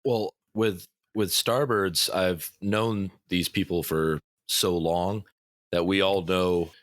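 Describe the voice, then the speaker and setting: A man who runs a podcast game, talking in a relaxed way with many fillers on a panel. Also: low-pitched, takes it slowly, no echo, clean studio sound. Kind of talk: casual panel discussion.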